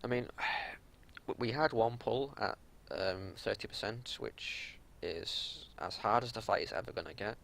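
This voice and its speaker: A man's voice: on the low side, unhurried, gravelly, a bit bright. A man giving unscripted game analysis as a raid leader, explaining things in an exasperated, matter-of-fact way. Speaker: raid leader reviewing gameplay.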